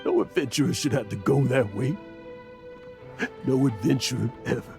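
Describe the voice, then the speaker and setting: Weary, gravelly old adventurer played by a man. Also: mid-range voice, over music, lightly acted. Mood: despairing. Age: older. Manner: halting, heavy-hearted, emotional. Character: weary, guilt-ridden old adventurer man living as dead friend. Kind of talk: dramatic game voice acting.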